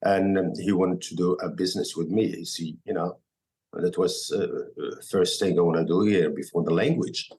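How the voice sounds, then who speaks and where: A man from Morocco with a Moroccan accent and Arabic as his first language, telling his story as a podcast guest in halting, filler-filled English. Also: deep voice, steady tone, darkish tone. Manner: halting non-native English, many fillers and restarts. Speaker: guest chef. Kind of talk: casual podcast interview.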